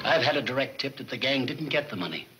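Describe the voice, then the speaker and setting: An actor playing a gruff police chief in a 1940s movie serial. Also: male voice, mid-range voice, lively intonation, quick pace, over music, lightly acted. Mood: stern. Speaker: film actor. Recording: noisy old 1940s film soundtrack.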